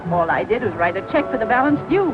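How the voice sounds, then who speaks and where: A woman's voice: a little high, big pitch swings, fast. A woman playing a modest, gracious small-town American lady in a 1940s film. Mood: modest, pleasant. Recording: dull 1940 film soundtrack with music.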